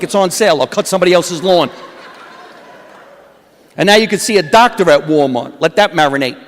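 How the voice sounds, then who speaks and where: A stand-up comedian with a New Jersey accent, delivering a deadpan wisecracking set onstage. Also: male voice, high voice. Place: comedy awards stage. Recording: long hall reverb from a stage.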